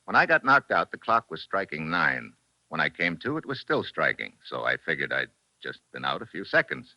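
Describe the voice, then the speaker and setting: An actor playing a tough, wry American investigator in a clipped, matter-of-fact 1940s radio drama style. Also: male voice, rumbling low, sing-song, moderate pace, bright, forceful tone, no room sound, heavily acted. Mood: confident, accusing. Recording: muffled vintage 1940s radio recording.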